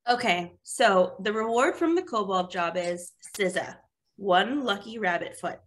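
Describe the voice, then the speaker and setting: A woman voicing a confident, practical paladin in her twenties for an animated fantasy table read. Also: mid-range voice, unhurried, lightly acted. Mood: unimpressed. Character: confident, practical twenty-four-year-old female water genasi paladin.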